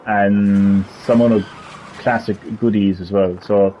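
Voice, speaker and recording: A man hosting a casual gaming stream, rambling, laughing often and reading web text aloud haltingly. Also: on the low side, fairly even intonation, dull audio, a little hiss or hum. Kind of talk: casual gameplay chat.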